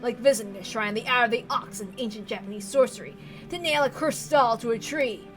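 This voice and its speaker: Woman acting a ranting, high-voiced deranged old patriarch. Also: a bit bright, soft background music, close to an everyday voice. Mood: grave, fervent. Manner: theatrical howling rants, drawn-out pleading. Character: deranged, tyrannical old patriarch, obsessed with lost love.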